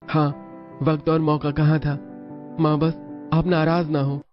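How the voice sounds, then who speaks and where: Guy doing an earnest young cartoon son, monotone, kinda high voice, music behind. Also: a little slow, lightly acted. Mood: earnest, apologetic. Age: young adult. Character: dutiful, earnest newly married son explaining himself. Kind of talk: Hindi cartoon story voice acting. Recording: dull lo-fi audio over music.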